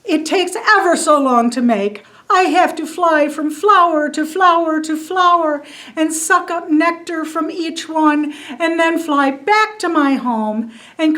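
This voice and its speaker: A woman's voice: very high-pitched, a bit bright, pitched above the performer's own voice, exaggerated voice. Woman doing a tiny pleading honeybee. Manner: eager, pleading, breathless run-on talk. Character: tiny chubby fuzzy female honeybee, pleading.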